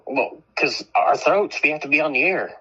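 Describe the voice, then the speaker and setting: A male radio co-host fighting off a cold, telling loose stories and laughing along the way. His voice is bright and sharp, picked up through a slightly dull, lo-fi feed. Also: on the high side, normal speed, husky. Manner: chatty storyteller, laughs often. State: has a cold. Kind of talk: casual on-air chat.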